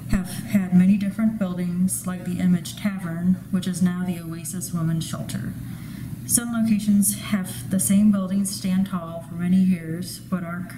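A young adult woman, a photography student, carefully reading her artist statement with a few small restarts. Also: on the low side. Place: indoor art gallery. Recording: echoey room with steady background noise.

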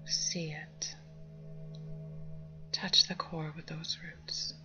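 Female meditation guide, low soft breathy voice, calming near-whisper, slightly muffled lo-fi recording. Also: extremely slow. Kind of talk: guided meditation, slow spoken instructions. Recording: dull lo-fi sound under music. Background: meditation music with singing bowls.

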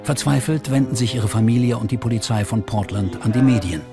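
A man narrates a true-crime documentary in German-podcast style. His medium-pitched voice reads from a script, with music playing clearly underneath. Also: fairly quick.

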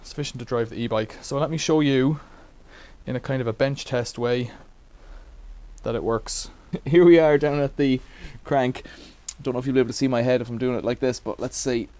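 A man's voice: mid-range voice, fairly even intonation, normal speed, a little mellow, slight background noise. A middle-aged man casually demonstrating a bike repair in a cluttered workshop, rambling with wry asides.

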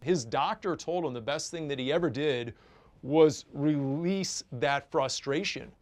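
A man's voice: fairly high pitch, a little slow. A man telling anecdotes in an interview in the polished style of a broadcaster.

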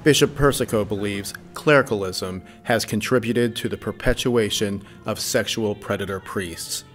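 Steady male documentary narrator. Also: fairly low pitch, moderate pace, faint music behind. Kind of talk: scripted news narration.

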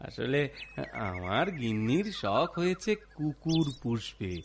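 A man's voice: mid-range voice, sing-song, slow, slight background noise. A man playing an affable, chatty village washerman in a cartoon, with rustic speech and repeated words. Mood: polite, eager. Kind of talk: cartoon voice acting, rustic dialogue. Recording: music behind the voice. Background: background music.

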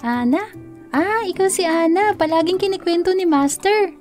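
A woman's voice: very high-pitched, animated, normal speed, a little mellow. A woman voicing a small, friendly, eager snowman castle guard in a cartoon. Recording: music under the voice.

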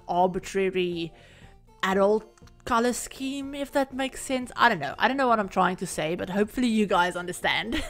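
A woman recording a casual, rambling voiceover for a home-building game video, her voice a little croaky from being sick. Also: mid-range voice, fairly bright tone. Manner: rambling, chatty, many fillers. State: croaky from illness. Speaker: Sims build creator. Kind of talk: casual voiceover over gameplay.

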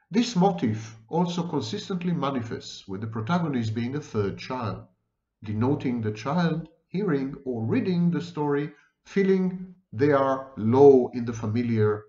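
A man in his fifties giving a formal lecture to camera, reading out quotations and commenting in a deliberate, emphatic manner. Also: medium pitch, a little mellow. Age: 50s. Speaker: professor lecturing. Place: small room indoors. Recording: roomy, echoey, dull lo-fi webcam sound.

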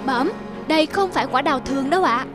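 A woman voicing a scheming, flattering middle-aged male court official in a cartoon. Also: high voice, quick pace, music in the background, lightly acted. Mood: fawning. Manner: flattering, smooth-tongued, sneering to rivals.

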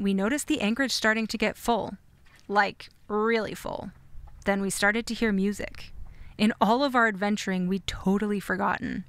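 A woman's voice: medium pitch, fairly bright tone, smooth, no room sound. A woman hosting a sailing vlog, telling the story in an upbeat, scripted voice-over. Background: background music.

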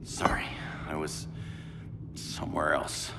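A man's voice: lowish voice, very animated, a little slow, vocal fry, heavy background noise, full character voice. A man playing a cocky, willful superhero in a video game, with a wry, resolute and gritty manner. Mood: wry resolve. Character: cocky, willful young-to-middle-aged male superhero, guilt-haunted. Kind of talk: dramatic video game cutscene acting.

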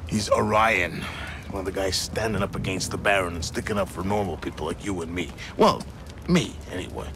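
An actor playing a chatty, teasing, streetwise fixer in a video game cutscene, wry and informal. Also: male voice, low-pitched, brisk, over music.

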